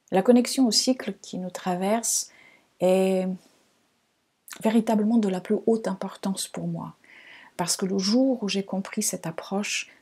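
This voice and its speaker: A Portuguese-born woman with a light accent in her French, hosting an astrology podcast in a calm, slow, reflective monologue that sometimes drops to a near whisper. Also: medium pitch. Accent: light Portuguese-tinged French. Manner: slow, soft-spoken, breathy at times.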